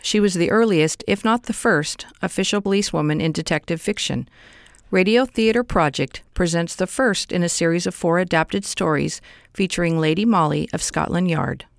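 Smooth, creaky woman radio announcer. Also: fairly low pitch, normal speed, no room sound, studio quality. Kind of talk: scripted radio introduction.

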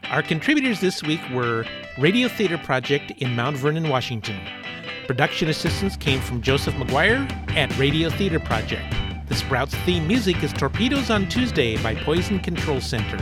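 A man's voice: a little high, big pitch swings, normal speed. A man with an American accent reading scripted closing credits as a radio program announcer. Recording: loud plucked-string music behind voice.

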